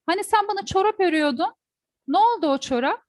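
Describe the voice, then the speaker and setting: A woman's voice: very high voice, expressive, fast, no echo, studio quality, pitched above the performer's own voice. A woman voicing an anxious miserly husband from a folk tale, fretful and evasive, full of exclamations. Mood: questioning. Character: anxious miserly husband hiding his hoard of gold.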